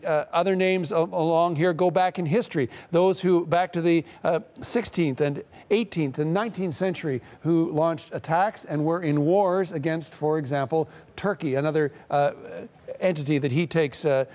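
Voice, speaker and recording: Halting middle-aged man, lightly raised pitch. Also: darkish tone. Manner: halting, many "uh" pauses. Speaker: news correspondent. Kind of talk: live studio analysis. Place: TV news studio.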